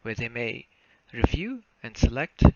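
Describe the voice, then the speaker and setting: Creaky-voiced young South African man, muffled mic. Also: mid-range voice, sing-song, measured pace. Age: young adult. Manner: formal, step-by-step explaining. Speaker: student software developer presenting a demo. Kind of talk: narrated software walkthrough. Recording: muffled lo-fi mic, faint noise, clicks.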